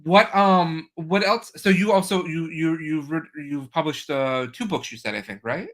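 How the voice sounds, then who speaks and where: A man hosting a casual video-call interview, enthusiastic and halting, with many fillers. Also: fairly high pitch. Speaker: podcast host.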